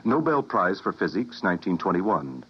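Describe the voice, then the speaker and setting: A curt security man, deep husky voice, lively inflection. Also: moderate pace, exaggerated voice. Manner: clipped, matter-of-fact, reads dossier flatly. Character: cold, businesslike male security agent. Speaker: security agent. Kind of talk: 1950s radio drama acting. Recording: dull lo-fi old radio recording.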